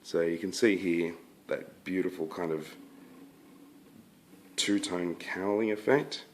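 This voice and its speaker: A low-voiced man talks through his finished scale model in an easy, unhurried way. His voice is croaky because he has been sick, and he stumbles into fillers and restarts as he thinks aloud. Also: a little hiss or hum. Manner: relaxed, hesitant, many fillers and restarts. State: croaky from illness. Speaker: scale model hobbyist presenting his build. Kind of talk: casual review to camera.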